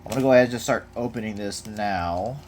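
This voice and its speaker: A man's voice: on the low side, fast. A young man casually chatting while unboxing trading cards at home, rambling with lots of fillers. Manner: rambling, relaxed, many fillers. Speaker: card collector unboxing. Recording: noisy home recording. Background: crinkling of packaging.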